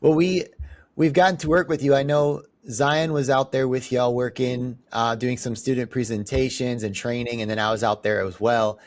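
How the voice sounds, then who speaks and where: A man's voice: medium pitch, little pitch movement, normal speed. Upbeat casual male podcast host. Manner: upbeat, friendly, says "man" and "dude". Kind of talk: casual interview. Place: inside a police cruiser.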